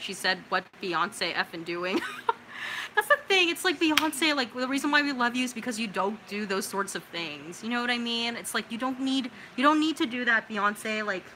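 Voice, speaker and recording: A young American woman with a bright, forceful voice at a medium pitch hosts a livestream. She reads out comments and reacts casually and expressively, with plenty of slang and some swearing. There is faint noise in the background. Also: rough recording. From California, United States. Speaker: livestream host.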